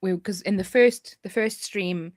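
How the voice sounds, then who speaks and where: A woman with a South African accent, chatting in a jokey, animated way as a livestream co-host. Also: medium pitch, measured pace, no room sound, clean studio sound. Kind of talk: casual livestream chat.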